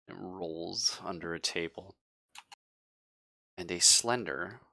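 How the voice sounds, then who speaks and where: Low, slow male game master, crisp and forceful. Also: dry recording. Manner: slow, deliberate, vivid descriptive storytelling with pauses. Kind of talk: horror tabletop game narration with casual chat.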